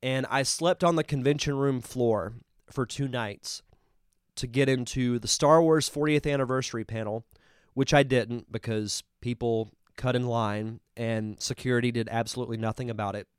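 A man's voice: mid-range voice, fairly even intonation, normal speed, clean tone, no room sound, clean studio sound. A man with a congested voice hosting a live podcast, chatting casually and enthusiastically as he reviews a film. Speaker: podcast host.

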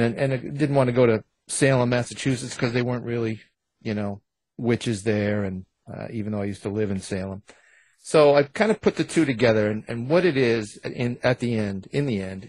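A man's voice: mid-range voice, darkish tone, no echo, rough recording. A man speaking as an author guest in an interview, explaining things in a casual, meandering, slightly hesitant way. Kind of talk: casual conversational storytelling.

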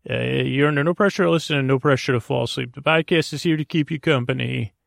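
Male podcast host, heavy vocal fry, rambling bedtime monologue, hesitant, meandering, dry close sound. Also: medium pitch, fast, no echo. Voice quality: gravelly, creaky. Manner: meandering, hesitant, trailing off into tangents.

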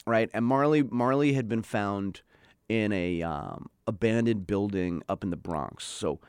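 A man's voice: fairly low pitch, measured pace, a little mellow, clear, resonant tone, no echo, studio quality. A middle-aged man telling a story in a casual interview, chatty and full of "like", acting out what people said. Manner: chatty, lots of "like", acts out quoted voices. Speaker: guest.

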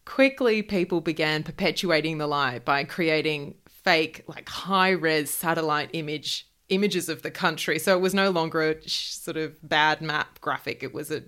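A woman's voice: low-pitched, normal speed, few pauses, a bit bright, clear, resonant tone. A woman, chatting casually about the news as a podcast co-host.

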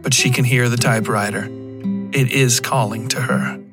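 Man narrating over loud guitar music. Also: medium pitch, fairly even intonation, moderate pace. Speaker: podcast host and story narrator. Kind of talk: scripted horror fiction narration. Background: plucked guitar music.

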